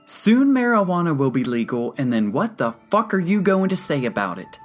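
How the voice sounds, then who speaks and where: A woman's voice: low voice, very animated, measured pace, faint music behind, pitched above the performer's own voice, heavily acted. A woman voicing an angry, brash young male patient in an audiobook, indignant and emphatic. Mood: outraged, profane.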